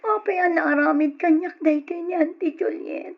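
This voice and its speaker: High-voiced, plaintive young orphan niece. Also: female voice, moderate pace, soft background music. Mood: tearful, desperate. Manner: plaintive, pleading. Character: mistreated, pleading orphaned niece, young and tearful. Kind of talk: old Ilocano radio drama acting. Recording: dull lo-fi old radio recording.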